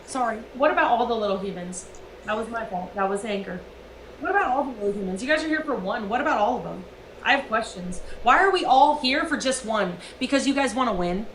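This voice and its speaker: A high-pitched, sharp-toned woman rants into her stream, swearing and hammering every point. Her voice rings in an echoey room, with a faint hiss under it. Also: lively intonation, fast talker. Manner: profane, emphatic ranting. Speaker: livestreamer. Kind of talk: heated livestream rant.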